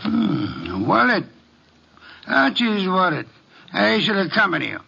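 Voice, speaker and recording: An actor playing a pompous, irritable older detective in a vintage radio drama, with formal and elaborate diction. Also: male voice, sits high, huge pitch swings, a little slow, gravelly, pushed and bright, slightly rough, heavily acted. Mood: haughty, stern. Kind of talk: 1940s-50s radio detective acting. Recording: dull vintage radio recording.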